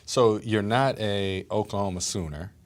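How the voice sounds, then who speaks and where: A low-voiced man hosting a city talk show as its mayor. He asks friendly, rambling questions and often restarts them mid-sentence. He keeps the guest going with steady mm-hmms. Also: moderate pace. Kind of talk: casual interview.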